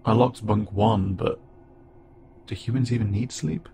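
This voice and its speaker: A man playing an awkward, gentle insect-like alien pilot who speaks haltingly and unsure of himself, in an intimate audio roleplay. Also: on the low side. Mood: curious, softening. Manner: hesitant, halting, stammering and self-doubting. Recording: clearly noisy, with ambient sound bed.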